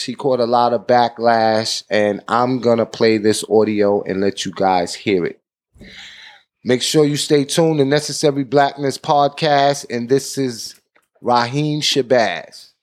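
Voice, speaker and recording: A man hosting a podcast, giving deliberate commentary in a preaching tone. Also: medium pitch. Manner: deliberate, preaching tone, frequent "you know". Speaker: podcast host.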